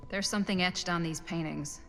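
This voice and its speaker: A woman's voice: on the low side, fairly even intonation, brisk, soft background music, close to an everyday voice. A woman playing a practical, skeptical investigator in a video game, speaking matter-of-factly. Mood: focused, skeptical.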